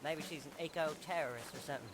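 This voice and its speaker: An actor playing a dim, suspicious guard sidekick in a video game. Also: male voice, fairly high pitch, fast talker, natural voice. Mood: amused, suspicious. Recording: quiet voice amid noisy outdoor ambience.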